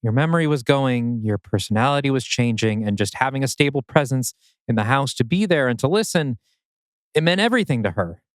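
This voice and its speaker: A man acts out a troubled father in his thirties in an audio drama reading. His delivery is animated and intense, pressing with bitter, pointed questions in a close, dry recording. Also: medium pitch, fairly quick, no room sound, close to an everyday voice. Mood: bitter, accusing. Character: anguished 39-year-old father, bitter and accusing.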